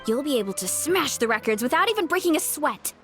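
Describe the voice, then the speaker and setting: A woman's voice: sits high, big pitch swings, fast talker, a bit bright. A woman voicing a cheerful, easygoing young fruit seller in an anime-style video game, friendly and earnest. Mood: friendly, encouraging. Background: game music.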